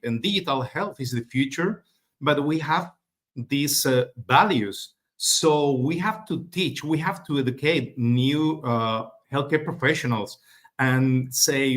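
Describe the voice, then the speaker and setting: Hesitant doctor on a video call. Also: male voice, mid-range voice. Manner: hesitant, non-native phrasing. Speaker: doctor, guest. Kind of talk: casual video call interview.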